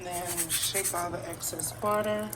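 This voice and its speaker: A woman's voice: fairly low pitch, fairly even intonation, a little slow. Chatty woman cooking in a noisy kitchen. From Haitian family background. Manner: chatty, thinks aloud while working. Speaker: home cook showing a recipe. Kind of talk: casual cooking demo. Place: home kitchen with running tap. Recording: background noise.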